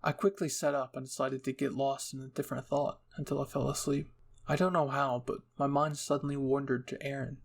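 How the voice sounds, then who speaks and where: A man's voice: mid-range voice, level tone, brisk, vocal fry, slightly rough. A man reading scripted fan-fiction narration as a storyteller and channel host.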